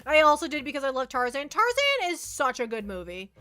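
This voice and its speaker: A woman's voice: high-pitched, wildly swooping pitch, brisk, sharp tone, soft background music. A woman chatting casually to viewers as a livestream host, rambling playfully and often repeating her phrases.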